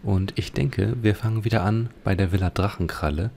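A man's voice: on the low side, fairly quick, darkish tone. A man commenting casually on a video game, musing aloud as he describes what he sees. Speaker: let's play host. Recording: clearly noisy home recording.

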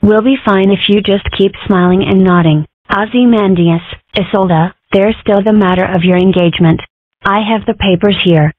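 A woman voicing comic strip characters in a brisk, matter-of-fact way. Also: mid-range voice, dry recording, phone-quality sound. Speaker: comic dub voice actor. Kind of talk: reading comic strip dialogue aloud.

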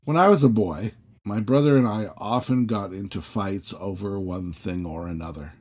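A man, a priest, giving a measured sermon full of questions, each sentence opening higher and settling low. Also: darkish tone, breathy edge. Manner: measured, questioning preaching with rising openings and falling endings.

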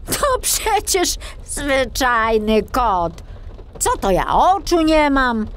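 A woman voicing a grumpy but good-natured male forester in a children's audiobook, expressive and exclamatory. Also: sits high, drawn-out words, a little hiss or hum, pitched above the performer's own voice, heavily acted. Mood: indignant.

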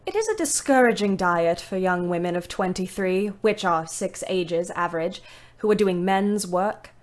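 A woman playing a weary young British ambulance driver in her twenties, narrating her war diary in a literary, wry, measured way. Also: on the low side, expressive, natural voice. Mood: fond, wry. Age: 20s. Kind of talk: scripted audio drama narration.

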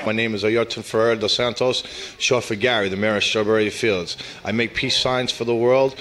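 A man's voice: on the low side, steady tone, moderate pace, fairly bright tone, a little hiss or hum. A man speaking in a street interview, earnest and self-assured. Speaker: park regular.

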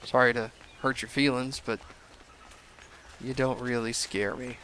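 A young man commenting casually on a video game he is playing, his voice a little hoarse from a cold, rambling with self-deprecating asides. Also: medium pitch, little pitch movement, measured pace, bright, forceful tone, a little hiss or hum. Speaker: let's play gamer.

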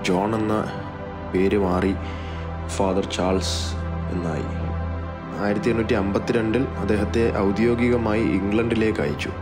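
Low-voiced man narrating over music. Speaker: video narrator. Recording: music bed, some dull echoey passages.

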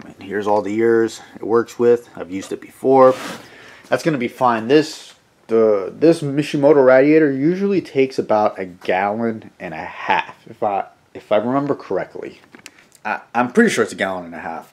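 A man in his thirties with a hoarse, sniffly voice, chatting casually to a handheld camera in his home garage and car, rambling and self-deprecating. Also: fairly low pitch. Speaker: car vlogger. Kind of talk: casual how-to vlog talk to camera. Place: home garage, then inside a parked car. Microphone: handheld camera at arm's length.

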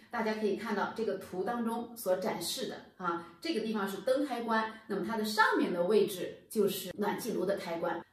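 A woman in her thirties giving a patient, step-by-step home-heating lesson, speaking straight to viewers. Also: fairly high pitch. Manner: patient, explanatory, addresses viewers directly. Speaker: HVAC channel presenter. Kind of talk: instructional explainer. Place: plain indoor room against a bare wall. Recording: very echoey room, voice from far off.

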